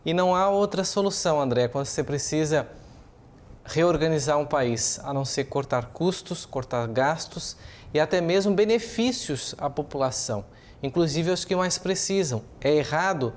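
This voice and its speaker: A man's voice: on the high side, lively intonation, normal speed. A man with a Brazilian accent giving opinionated, explanatory news commentary as a journalist, speaking semi-improvised and posing rhetorical questions.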